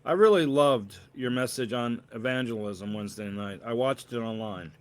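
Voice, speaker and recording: A man's voice: mid-range voice, normal speed, clear, resonant tone, natural voice. A man voicing an eager, awkward churchgoing husband in a storybook, chatty and keen to please.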